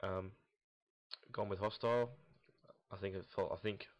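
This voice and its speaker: A young man giving casual, unscripted esports commentary in a rambling, halting, blunt way, recorded in lo-fi sound. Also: sits low, fairly even intonation. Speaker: host of a gaming team audio log. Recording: dull lo-fi, roomy echo, mouse clicks.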